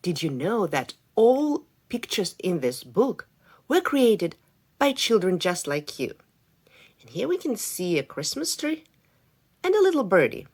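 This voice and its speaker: A woman reading a folk tale aloud for children, talking in an animated, expressive way. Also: medium pitch, a little slow, husky. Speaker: storyteller.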